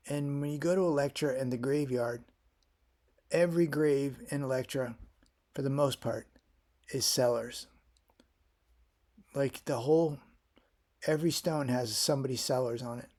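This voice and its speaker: A man's voice: medium pitch, measured pace, pauses often, a little mellow. A man in his fifties from Florida, talking through his health and family history in a casual vlog, rambling and often restarting his sentences. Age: 50s. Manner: rambling, with frequent restarts and fillers. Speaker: vlogger.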